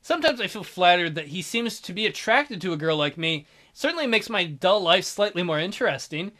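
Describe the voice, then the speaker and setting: A man's voice: high-pitched, lively intonation, brisk, pushed and bright. Male let's play narrator, reads story text aloud, wry commentary, music underneath. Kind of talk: reading visual novel narration aloud with wry asides.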